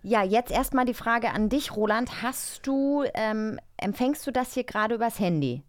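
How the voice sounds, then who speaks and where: Woman podcast host, teasing casual chat, highish voice that goes up and down a lot. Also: lively intonation, moderate pace, no echo, studio quality. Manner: teasing, bantering.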